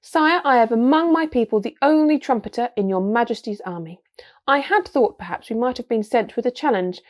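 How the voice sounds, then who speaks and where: A woman voicing a tiny, chivalrous male mouse warrior, shrill, courtly and proud, in a storybook reading. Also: expressive, fairly quick, natural voice. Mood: proud pleading. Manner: courtly, formal, touchy about honour.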